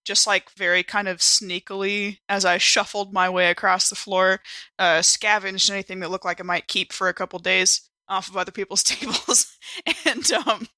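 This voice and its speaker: Female tabletop player: sharp, forward tone, close dry sound, wry and casual. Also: medium pitch, fairly even intonation, moderate pace, no room sound. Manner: wry, playful. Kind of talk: casual improvised game chat.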